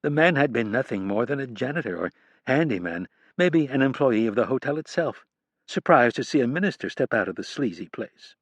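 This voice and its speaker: A man narrating a crime thriller audiobook in a measured, even reading. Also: medium pitch, dry recording. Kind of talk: scripted narration.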